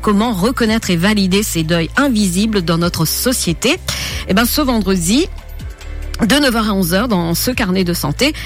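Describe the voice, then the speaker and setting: A woman's voice: mid-range voice, animated, normal speed, faint music behind. A woman hosting a radio health show, asking her guest questions one after another. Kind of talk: radio interview. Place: radio studio.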